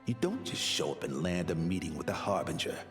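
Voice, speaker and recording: A man's voice: deep voice, sing-song, fairly quick, over music. A man playing a weary, officious gatekeeper in a video game, with formal, bureaucratic phrasing. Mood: exasperated muttering. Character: officious, weary male gatekeeper guard, curt and put-upon. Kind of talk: video game character acting.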